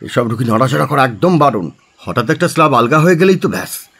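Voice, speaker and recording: A man playing a fussy, blunt town doctor in a Bengali audio drama, speaking in an excitable, scolding manner. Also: mid-range voice, quick pace, husky, full character voice. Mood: agitated. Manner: excitable, scolding, peppers talk with English words.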